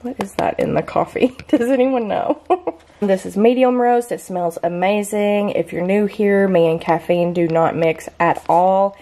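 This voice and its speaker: A young woman in her twenties chatting casually about her groceries in a home kitchen, bubbly and quick to laugh. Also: mid-range voice, warm tone. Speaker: lifestyle vlogger. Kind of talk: casual grocery haul chat.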